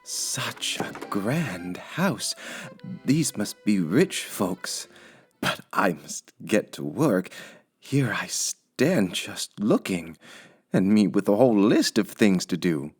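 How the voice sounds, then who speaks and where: A man's voice: fairly low pitch, very animated, husky, soft background music, full character voice. A man voicing a cheerful, naive woman housekeeper in a children's storybook, earnest and literal-minded, musing aloud in a folksy way. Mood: pleased. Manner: slow, exclaiming, folksy musing.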